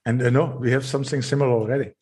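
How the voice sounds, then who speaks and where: Austrian male podcast host, mid-range pitch, restarts and stammers, chatty. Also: fairly even intonation. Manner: halting, repeats words, laughs softly. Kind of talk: casual interview chat.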